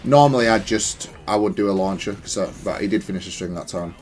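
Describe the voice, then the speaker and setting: A man's voice: lowish voice, fast, darkish tone, faint music behind. A man giving casual, analytical gameplay commentary in a matter-of-fact, self-critical way. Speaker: gaming content creator.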